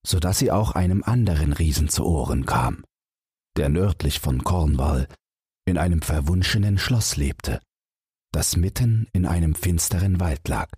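Husky low-pitched man reading slowly. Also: darkish tone, dry recording, clean studio sound. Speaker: audiobook narrator. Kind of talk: scripted bedtime fairy tale narration.

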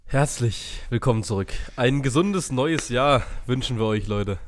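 A young man hosting a podcast with a blocked-up nose, relaxed and teasing in casual chat. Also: mid-range voice, measured pace, background noise. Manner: relaxed, teasing, says "Alter" often. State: stuffy nose. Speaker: podcast host.

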